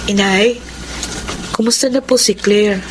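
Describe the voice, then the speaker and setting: An actress playing an understanding, caring elder sister in a Filipino radio drama, with a gentle, sympathetic delivery. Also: female voice, medium pitch, fairly even intonation, measured pace, soft background music, close to an everyday voice. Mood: concerned.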